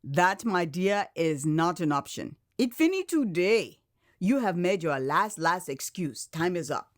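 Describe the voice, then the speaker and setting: A woman voicing a brisk, no-nonsense Liberian nurse with a Liberian accent, bossy and unyielding, in an audio drama. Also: lowish voice, big pitch swings, no echo. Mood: firm, scolding. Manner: firm, bossy, dialect phrasing.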